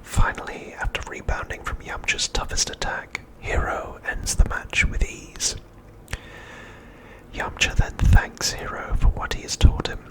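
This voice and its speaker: Slow whispering man, ASMR narration. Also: poor audio. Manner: soft, unhurried whispering with deliberate pauses. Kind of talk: whispered ASMR, scripted episode recap narration.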